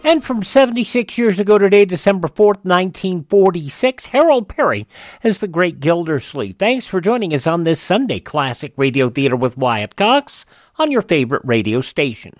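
A male radio host reading scripted introductions. His delivery is lively, and the sound is very dry and close. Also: high voice, moderate pace, dry recording, soft background music.

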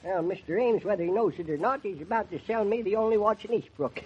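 A cantankerous old country farmer in a vintage radio play. His voice is high and husky, with a folksy rural American drawl, on a dull, muffled old recording. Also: fast. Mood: proud, musing. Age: older. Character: crusty, folksy old male New England farmer. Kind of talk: 1940s radio drama acting.

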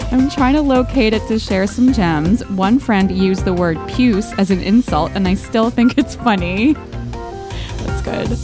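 A woman co-hosting a podcast in a casual, chatty book club style, talking and reading aloud from listener letters. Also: mid-range voice. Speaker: podcast co-host.

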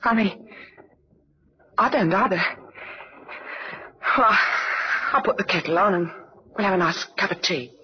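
A woman acting in an old radio drama as a soft-hearted young London woman, flustered, tender and a little bossy. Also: medium pitch, a little slow, pauses often, close to an everyday voice. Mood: tender, hesitant. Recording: muffled old radio recording, faint noise.

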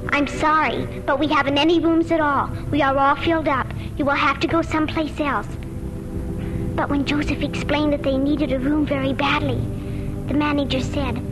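An earnest little child , acted by a woman in a 1940s radio drama. The voice is very high, with simple, careful storytelling. Loud music swells behind it on an old recording. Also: lightly acted, low-quality recording. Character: earnest little child reciting and chattering at Christmas.

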